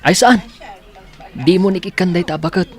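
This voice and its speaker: Actor as haughty, grumbling Ilocano boyfriend. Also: male voice, high-pitched, normal speed, close to an everyday voice. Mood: scornful, irritated. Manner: grumbling, scolding. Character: irritable, haughty boyfriend, scornful of beggars and strangers. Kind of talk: Filipino radio drama acting.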